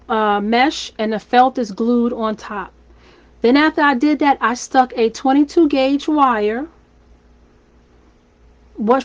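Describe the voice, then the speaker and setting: Lady with a scratchy laryngitis voice, kinda high, chatty craft livestream, dull lo-fi sound. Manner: chatty, friendly, step-by-step instructing. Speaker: wreath maker and shop owner. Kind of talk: live craft tutorial. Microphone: phone or camera livestream.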